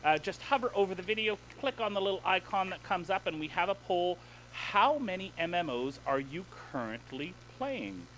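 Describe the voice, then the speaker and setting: A man hosting a gaming podcast in casual chat, excitable and playful, laughing often. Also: high voice, normal speed, a little hiss or hum, low-quality recording. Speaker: podcast host.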